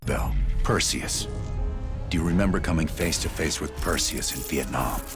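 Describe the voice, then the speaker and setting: Deep-voiced, commanding CIA interrogator. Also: male voice, moderate pace. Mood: controlled, probing. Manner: commanding, insistent. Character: grim, controlling CIA interrogator, a Vietnam veteran. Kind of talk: cinematic game voice acting. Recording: loud film score behind. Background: dramatic music.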